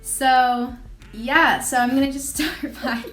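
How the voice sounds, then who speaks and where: A woman's voice: sits high, fairly even intonation, slow, fairly bright tone. A young woman hosting a casual vlog, chatty and bubbly, talking at home. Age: young adult. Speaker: host. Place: home room. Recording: echoey room with faint music.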